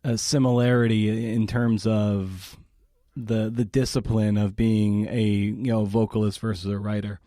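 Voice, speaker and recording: Male interviewer, warm dark voice, dry close recording, wordy questions. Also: fairly low pitch, little pitch movement, dry recording. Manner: searching, halting delivery. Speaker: podcast host.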